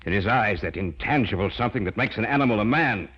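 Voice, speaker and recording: A man's voice: lowish voice, expressive, fast, husky. An actor playing an obsessed, stubborn scientist father in an old-time radio horror drama, with a theatrical, emphatic delivery. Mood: grave, remorseful. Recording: dull, hissy vintage radio recording.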